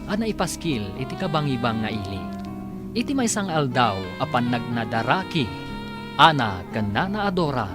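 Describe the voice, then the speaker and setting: A man announces and narrates a radio drama in a dramatic, scripted way. His mid-pitched voice is very animated, with an Ilocano accent and clear music behind it.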